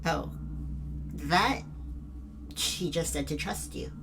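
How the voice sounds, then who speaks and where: A woman's voice: low-pitched, slow, vocal fry, full character voice. A woman acting in an audio drama as a guilt-ridden, frightened young student who is anxious and defensive. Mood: guarded, evasive. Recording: noticeable background noise and ambience.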